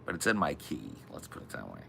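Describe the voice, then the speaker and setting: Deep gravelly man, loose and profane. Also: sing-song, quick pace, fairly bright tone, a little hiss or hum. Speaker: podcast co-host. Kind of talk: casual podcast chat.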